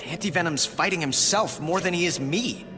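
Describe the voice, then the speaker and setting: A man's voice: on the high side, normal speed, over music, close to an everyday voice. A man voicing a young, quippy, earnest superhero in a video game, speaking emphatically. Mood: urgent. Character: young wisecracking male superhero, earnest and stubborn.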